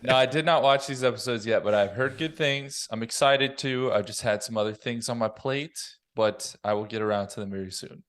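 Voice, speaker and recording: A man takes part in a casual roundtable chat. His voice is clean and resonant at an ordinary male pitch, and he delivers his remarks in a dry, joking way. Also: fairly quick, hardly any pauses, fairly bright tone, clear, resonant tone. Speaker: roundtable panelist.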